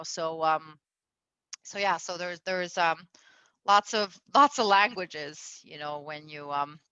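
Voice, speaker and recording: Woman, raised in Venezuela, relationship coach, bright tone, rambling fillers, slightly muffled sound. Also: medium pitch, dry recording. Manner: chatty, lots of fillers, laughs often. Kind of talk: casual advice talk.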